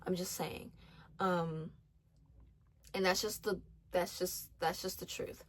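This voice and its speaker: A woman's voice: fairly low pitch, level tone, slow. A twenty-year-old woman giving casual faith-based advice, earnest, with many ums, restarts and repeated phrases. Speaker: faith vlogger.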